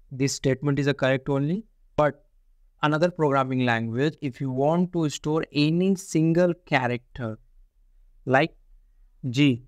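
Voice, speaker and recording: A man's voice: medium pitch, no echo, clean studio sound. A man teaching programming, explaining step by step and repeating points. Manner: repetitive, explains step by step with frequent "see" and "only". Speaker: programming tutor. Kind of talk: screen-recorded coding lesson.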